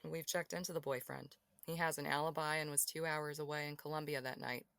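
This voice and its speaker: A woman playing a cool, sharp-eyed female police detective with a guarded, curt manner. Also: low-pitched, steady tone, brisk, no echo, clean studio sound. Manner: crisp, guarded and dismissive. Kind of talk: amateur audio drama acting.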